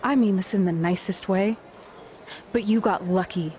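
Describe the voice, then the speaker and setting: A woman's voice: medium pitch, normal speed, darkish tone, a little hiss or hum, lightly acted. A woman playing a sarcastic, no-nonsense young female pro wrestler in a video game cutscene, talking in a dry, blunt, exasperated way. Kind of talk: naturalistic video game dialogue acting.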